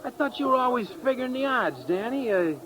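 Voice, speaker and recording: An actor playing a drunken, boisterous, big-spending sailor in an old-time radio drama, talking in rowdy, chummy, repetitive bursts. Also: male voice, very high voice, expressive, fairly quick, noisy background. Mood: boozy, chummy. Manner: rowdy, repetitive, tipsy, backslapping talk. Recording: old radio recording, bar crowd behind.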